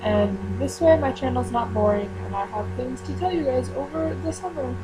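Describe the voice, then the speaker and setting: A chatty woman with a fairly high voice talks to her viewers in a loose, rambling way. She drifts off mid-thought and corrects herself as she goes. Loud music plays underneath her voice. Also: expressive, moderate pace, rough recording. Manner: chatty, rambling, frequent ums and self-corrections. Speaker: YouTuber running a book club. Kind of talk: casual chat to camera.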